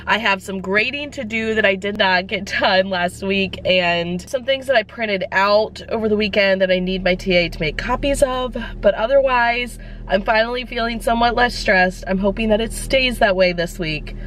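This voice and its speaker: A woman's voice: mid-range voice, moderate pace, fairly bright tone, slight background noise. A young woman who is a teacher, chatting upbeat to the camera in a casual vlog while she has a sore throat and is losing her voice. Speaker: elementary school teacher vlogger.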